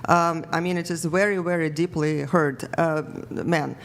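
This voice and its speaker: A woman on a podcast panel explaining attachment styles slowly and carefully, with slightly nonnative phrasing. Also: low-pitched, fairly even intonation. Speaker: panel guest. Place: bright studio with a large panel. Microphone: desk mic on arm.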